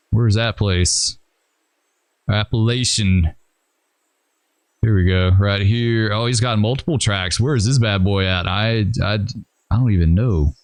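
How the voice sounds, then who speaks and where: A man's voice: lowish voice, smooth, no room sound. Man thinking aloud over a train game. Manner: thinking aloud, rambling and hesitant. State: losing his voice. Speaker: gaming channel host. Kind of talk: casual gameplay commentary.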